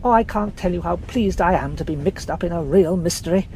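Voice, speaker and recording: A woman voicing a chatty, gallant young English gentleman who talks in a breezy, teasing way. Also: lowish voice, a little fast, vocal fry, a little hiss or hum, low-quality recording. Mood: playful.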